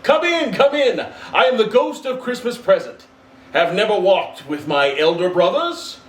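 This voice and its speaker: A man's voice: high-pitched, very animated, moderate pace, fairly bright tone, voice pushed up. A man voicing a giant jovial ghost in a storybook reading, exclaiming and commanding in a hearty manner. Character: giant jovial ghost, a booming festive male spirit. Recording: roomy, distant mic, faint hiss.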